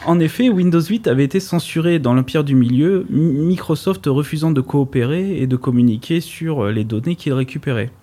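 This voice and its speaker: A man's voice: fairly high pitch, moderate pace, warm tone. A man reading a prepared tech segment on a radio show, dropping dry, ironic asides.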